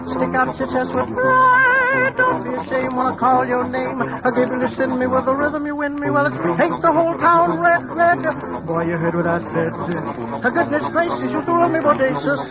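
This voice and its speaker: A man sings lead with a vocal group in a rhythmic 1940s swing number. His voice is very high and unhurried, set over a loud band on a muffled old radio recording. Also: lively intonation, over loud music.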